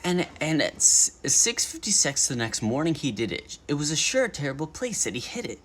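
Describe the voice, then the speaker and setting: A man reading a children's storybook aloud in an animated narration style, as a bedtime story livestreamer. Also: a little high, moderate pace, slight background noise.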